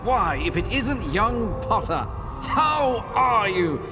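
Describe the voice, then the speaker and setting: A man's voice: sits high, very animated, takes it slowly, exaggerated voice. A man playing a genteel, slightly fussy British castle ghost in a video game, with a courtly, airy manner. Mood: genial. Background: loud music.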